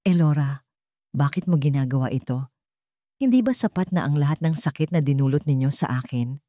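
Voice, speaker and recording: A woman's voice: low voice, animated, fairly quick, dark tone, dry recording, studio quality. A woman voicing a wronged, hurt woman who questions and pleads in an audio romance story. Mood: hurt, pleading.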